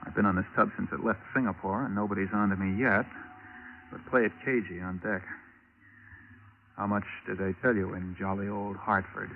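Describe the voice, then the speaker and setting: Brief: low-voiced undercover agent, hushed, thin tinny radio sound. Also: male voice, fairly even intonation, moderate pace, creaky, slight background noise. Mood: conspiratorial. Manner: low, conspiratorial. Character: cagey male undercover marine investigator. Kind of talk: 1940s radio acting.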